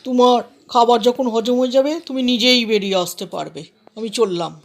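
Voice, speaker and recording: A woman voicing a gruff, dismissive male tiger who blusters and threatens in a children's fable. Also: on the high side, fairly quick, close to an everyday voice. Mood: gruff, threatening. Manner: growling roar-words, blustering.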